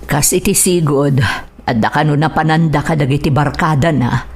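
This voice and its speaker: A woman's voice: sits low, normal speed, a little mellow, breathy edge. A woman with an Ilocano accent playing a worried, pleading middle-aged mother in a radio drama. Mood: defensive.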